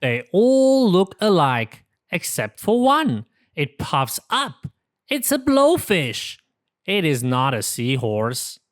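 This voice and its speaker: Slow-paced woman reading a children's story. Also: deep voice, big pitch swings, no echo, clean studio sound. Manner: simple, carefully enunciated sentences for young children. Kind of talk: read-aloud picture book narration.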